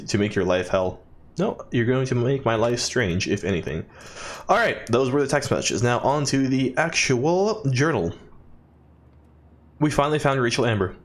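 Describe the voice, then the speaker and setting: A man doing a let's play, reading in-game journal text aloud expressively and dropping in jokey asides. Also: on the low side. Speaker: let's player.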